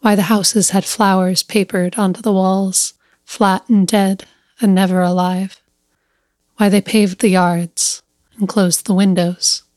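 A woman reading a scripted literary story as narrator, measured and deliberate, pausing between short phrases. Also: mid-range voice, level tone, darkish tone, dry recording, clean studio sound.

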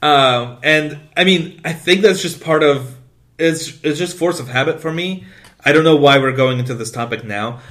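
A man co-hosting a casual podcast, speaking English as a second language, rambling excitably and swearing constantly. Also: on the high side, normal speed. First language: not English. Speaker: podcast co-host. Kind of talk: casual chat.

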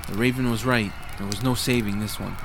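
A man's voice: lowish voice, fairly even intonation, brisk, creaky, background noise. Man as an earnest young boy hero narrating. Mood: reflective. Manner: earnest, youthful phrasing. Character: earnest young boy hero, self-doubting but determined. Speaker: first-person narrator. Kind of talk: dramatic audiobook narration and dialogue.

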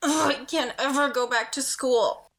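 A woman's voice: high-pitched, a little slow, creaky, a bit bright, slight background noise, exaggerated voice. A woman in an audio drama playing a dramatic, easily embarrassed schoolgirl with a sulky, whiny manner. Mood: upset, embarrassed.

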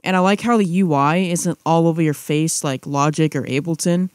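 A man's voice: fairly high pitch, normal speed, clear, resonant tone, dry recording, studio quality. A young man chatting casually as a podcast co-host, rambling with restarts and fillers. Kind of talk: casual chat.

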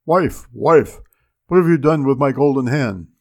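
A man voicing a huge, bossy, suspicious man-eating ogre in a storybook tale, with booming commands and chanted threats. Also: steady tone, slow pace, gravelly, full character voice. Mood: loud, bossy.

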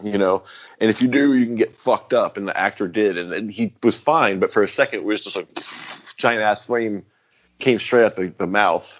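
A man's voice: on the low side, normal speed, muffled recording. A man co-hosting a podcast in casual chat, rambling and swearing as he acts out lines. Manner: animated, rambling, swears often, acts out quotes. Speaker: podcast co-host.

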